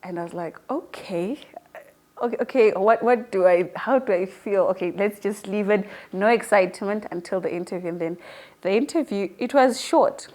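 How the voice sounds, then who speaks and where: A woman's voice: medium pitch, moderate pace, darkish tone. Chatty young woman in her early twenties. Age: early 20s. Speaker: newly appointed online show presenter being interviewed. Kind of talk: casual chatty interview storytelling.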